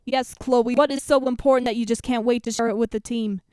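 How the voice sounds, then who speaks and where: A woman acts out a bossy female soccer coach from a kids' story. She has a New York accent and barks out announcements loudly, pronouncing each word with exaggerated clarity. The sound is close and dry. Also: on the high side, fairly even intonation, a little fast, clean tone, no echo, lightly acted. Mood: brisk, stern. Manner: loud, over-enunciated announcing.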